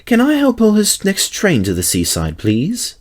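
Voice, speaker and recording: A man voicing an elderly, gentle and slightly anxious male tram engine in a storybook reading, polite and wistful. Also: sits high, wildly swooping pitch, unhurried, voice pushed up, exaggerated voice. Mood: kindly. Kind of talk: storybook character voice.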